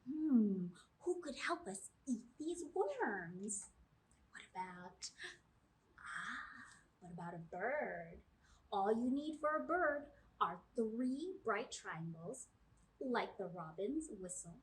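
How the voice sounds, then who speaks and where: A woman's voice: medium pitch, animated, takes it slowly, slight background noise, poor audio. A woman in her thirties hosting a children's storytime, reading aloud in simple phrases and asking the young listeners guiding questions. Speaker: children's librarian, storytime host. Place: small indoor room, seated before camera.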